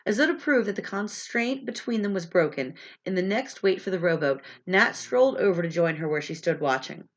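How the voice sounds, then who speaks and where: A woman's voice: fairly low pitch, lively intonation, brisk, hardly any pauses. A woman with a stuffy, congested voice, reading a story aloud in a storytelling style. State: sinus congestion from allergies. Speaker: reader of an audiobook chapter. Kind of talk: read-aloud storytelling with chatty intro. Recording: dull, lo-fi sound.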